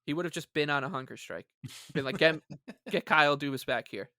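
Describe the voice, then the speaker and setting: A man's voice: on the high side, brisk, sharp tone, no room sound, clean studio sound. A man co-hosting a podcast in casual sports talk, speaking in an exasperated, emphatic, sarcastic way. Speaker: podcast co-host.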